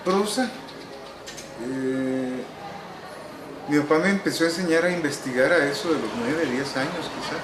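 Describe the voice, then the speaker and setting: A man's voice: a little high, noisy background. Salvadoran man recalling in an echoey room. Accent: Salvadoran Spanish. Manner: unhurried, wry, frequent "eh" pauses. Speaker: novelist interviewee. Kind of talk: relaxed reminiscing interview answers.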